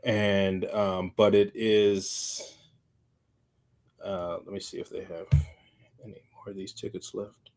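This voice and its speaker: A man with a fairly low voice talks casually as a podcast co-host. He feels his way through sentences in a loose, slangy manner, often circling back as he goes. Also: little pitch movement, slow pace, long pauses, a little mellow, soft background music. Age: adult, parent of young child. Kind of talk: casual chat.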